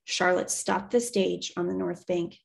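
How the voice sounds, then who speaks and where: A woman reading a children's novel aloud as an expressive storyteller. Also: fairly low pitch, unhurried, gravelly.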